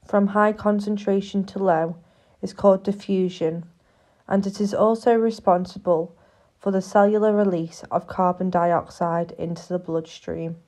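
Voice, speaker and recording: A woman narrates an educational film from a script, with a medium-pitched voice. Her delivery is formal and measured, explaining things step by step. The recording sounds slightly muffled and lo-fi.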